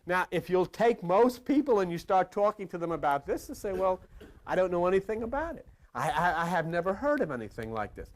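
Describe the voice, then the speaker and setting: High-pitched man preaching emphatically. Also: sing-song, brisk. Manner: emphatic, repeats phrases for effect, rhetorical questions. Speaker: spiritual teacher. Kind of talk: esoteric sermon lecture to an audience.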